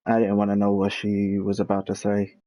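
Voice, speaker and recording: Man, casual game streamer, low pitch, soft dark tone, blunt delivery. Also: completely flat delivery, a little fast. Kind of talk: casual live gaming commentary.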